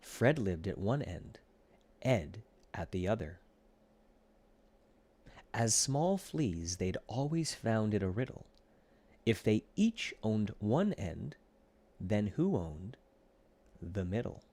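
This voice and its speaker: A man narrating a rhyming children's storybook in an expressive, deliberate storytelling way. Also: on the low side. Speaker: audiobook reader.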